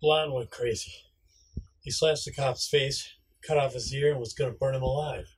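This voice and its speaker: A man's voice: mid-range voice, steady tone, moderate pace, lightly acted. A man playing a wounded, defiant, sarcastic robber in an amateur radio play. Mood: insistent. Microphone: VHF radio play recording. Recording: faint background noise over marine radio.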